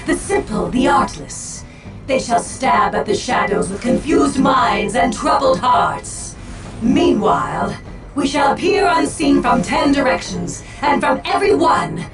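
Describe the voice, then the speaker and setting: Video game alien seer: proud, cryptic, measured and theatrical, echoey sound over music. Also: female voice, a little high. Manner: stately, measured, theatrical delivery. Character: solemn, proud alien Eldar farseer, cryptic war leader. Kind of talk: dramatic video game acting. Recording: echoing, distant, music behind.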